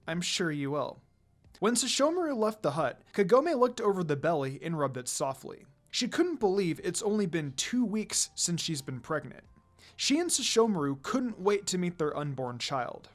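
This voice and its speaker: A narrator reading a scripted fan fiction story aloud in an expressive storytelling style. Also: medium pitch, moderate pace. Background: background music.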